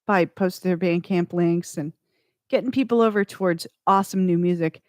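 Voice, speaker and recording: A woman's voice: lowish voice, darkish tone, dry recording, clean studio sound. A woman hosting a radio music show, chatting between tracks in a rambling way that corrects itself as she goes. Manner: slow, rambling, self-correcting.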